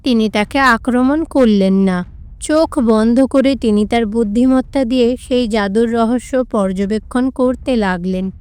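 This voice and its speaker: High, clear-voiced woman storyteller. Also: a little slow, darkish tone, no echo. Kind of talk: scripted narration of devotional cartoon tale.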